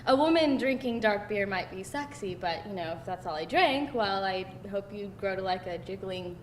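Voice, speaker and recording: A woman's voice: lively intonation, moderate pace, lightly acted. A woman playing a candid, self-deprecating girlfriend in a casual, conversational screenplay read. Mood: playful, affectionate. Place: live table read in a roomy indoor space. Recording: roomy live room, noisy rumble.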